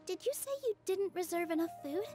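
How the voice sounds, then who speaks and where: An actress playing a sweet, modest young theater dancer in a video game, gentle, polite and earnest. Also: female voice, extremely high pitch, fairly quick. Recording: music playing behind the voice.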